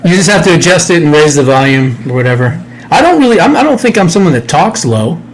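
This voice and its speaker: A man's voice: fairly high pitch, big pitch swings, quick pace, low-quality recording. A man in his fifties co-hosting a podcast, chatting loosely with joking, sarcastic banter. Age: 50s. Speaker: podcast co-host. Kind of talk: casual chat. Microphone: computer mic in a home studio.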